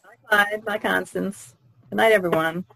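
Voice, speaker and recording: Mid-pitched woman in a dry-sounding chat. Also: fairly even intonation, moderate pace, gravelly, sharp tone, dry recording, poor audio. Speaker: artist, podcast panelist. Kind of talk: casual podcast chat.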